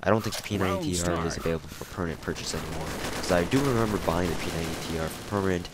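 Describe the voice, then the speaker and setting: A man's voice: deep voice, very fast. A man with a stuffy, nasal-sounding voice, rambling casually through a game review from his room. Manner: rambling, self-correcting, informal with mild swearing. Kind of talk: casual gameplay review commentary. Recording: loud gunfire noise under voice. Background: loud game gunfire.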